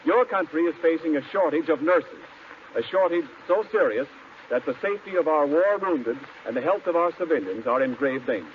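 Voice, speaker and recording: Emphatic American radio announcer, muffled vintage sound. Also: male voice, a little high, lively intonation, fairly quick. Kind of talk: 1940s scripted radio announcing and advertising. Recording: muffled, noisy 1940s radio recording.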